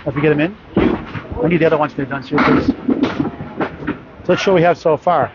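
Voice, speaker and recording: A man with a slightly high voice calls a bowling tournament live, working through scores and records in a plain, matter-of-fact way. The sound is dull and lo-fi, with loud noise from the alley all around him. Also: fairly even intonation, a little fast. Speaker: bowling announcer. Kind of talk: live sports commentary.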